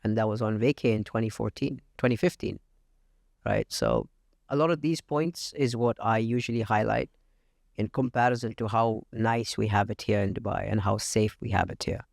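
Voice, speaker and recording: A man from India, a real estate agent talking as a podcast guest, confident and matter-of-fact with frequent pauses. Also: on the low side, animated, gravelly, dry recording, studio quality. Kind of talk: casual interview talk. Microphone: podcast studio mic.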